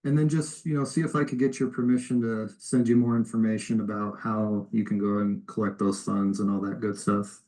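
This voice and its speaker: A man's voice: lowish voice, level tone, fairly quick, few pauses, low-quality recording. Polite, tentative man making calls. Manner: polite, tentative, soft-sell. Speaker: cold caller offering help claiming surplus funds. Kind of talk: informal phone conversation. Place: recorded phone call played back in a lesson.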